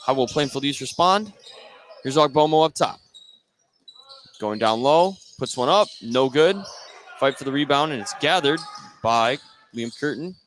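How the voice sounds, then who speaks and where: An American man works as a play-by-play announcer on live basketball radio, with a mid-pitched voice. He follows the action in short running phrases, over faint gym noise and ball bounces. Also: animated, unhurried, pauses often, a bit bright. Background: basketball game in a gym.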